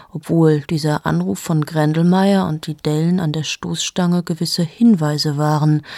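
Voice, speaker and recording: A restless journalist in her late thirties tells her story in a husky, breathy voice that sits low. She is intimate and confessional and often sinks to a whisper. The sound is dry and close, with no room around it. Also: mellow, no echo. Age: 30s. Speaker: narrator of a radio play monologue. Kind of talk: radio drama monologue.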